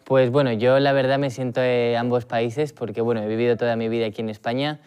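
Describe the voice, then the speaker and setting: A man's voice: medium pitch, steady tone, brisk, a little mellow, smooth, faint music behind. A man adopted from Russia speaking thoughtfully and a little hesitantly in a documentary interview.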